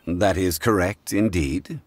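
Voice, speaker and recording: An actor playing a calm, learned, dignified male consultant in a game, speaking in a measured, formal way. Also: sits low. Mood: composed.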